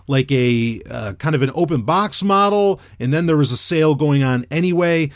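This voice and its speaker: A man with a loud, radio-trained voice, chatting casually as a podcaster reviewing a microphone. He rambles with many fillers and restarts. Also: a little high, animated, moderate pace, no echo, studio quality.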